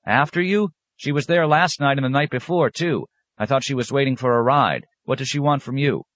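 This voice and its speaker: A man's voice: medium pitch, a little fast, a bit bright, dry recording, pitched above the performer's own voice, natural voice. A man voicing an anxious young monk who questions his abbot. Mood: uneasy.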